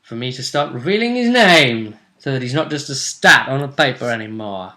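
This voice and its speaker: Bright-voiced man recapping a game. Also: medium pitch, expressive, moderate pace. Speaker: game master and host. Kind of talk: casual recap of a tabletop role-playing game.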